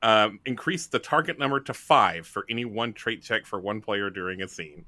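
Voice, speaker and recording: A man with a bright, forward voice improvises scenes as a tabletop game player. His delivery is casual and conversational, recorded close and dry. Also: lowish voice, normal speed, no echo.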